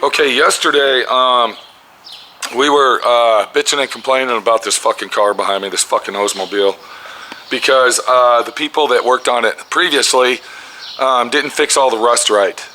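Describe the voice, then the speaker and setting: A man in his fifties with a raspy voice, ranting and swearing nonstop as he shows work in his auto body garage. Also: mid-range voice, fairly even intonation, normal speed, bright, forceful tone, slight background noise. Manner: heavy swearing, pissed-off ranting. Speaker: custom auto body shop owner. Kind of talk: angry workshop vlog. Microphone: camera held close at arm's length.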